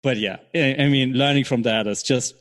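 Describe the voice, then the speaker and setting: A man answering as an interview guest in casual chat, explaining things in a measured, hesitant way. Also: medium pitch, dry recording. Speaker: podcast guest, software startup co-founder.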